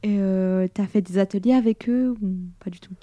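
A young woman hosting a local radio show, relaxed and colloquial as she asks questions. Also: mid-range voice, normal speed, no echo. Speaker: radio host. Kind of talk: casual radio interview.

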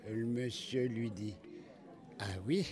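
A man of about eighty from northern France with a Picard accent, telling anecdotes in dialect in a folksy, animated way. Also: medium pitch, takes it slowly. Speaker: local elder interviewee.

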